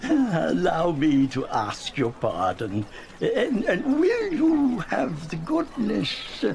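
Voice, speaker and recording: An old miser turned kindly, in an audio drama. His delivery is very slow and drawn out, swooping wildly up and down as he gushes and stammers with delight. There is clear background noise around him. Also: high voice, very animated, darkish tone, full character voice. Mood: earnest, grateful. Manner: effusive, stammering, gushing.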